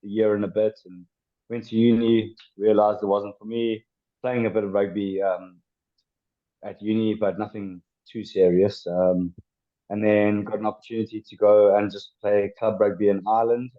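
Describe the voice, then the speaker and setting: A man in his twenties from South Africa, a former rugby player chatting as a podcast guest, with lots of pauses and restarts. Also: on the low side, flat delivery. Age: 20s. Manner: hesitant, many ums and restarts. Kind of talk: casual interview chat. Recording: dull lo-fi sound.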